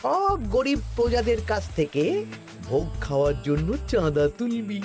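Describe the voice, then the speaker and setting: A man's voice: high voice, very animated, moderate pace. A man playing a greedy, scheming royal minister in a comedy cartoon, with a wheedling, conspiratorial, theatrical delivery. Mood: sly, conspiratorial. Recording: music behind the voice.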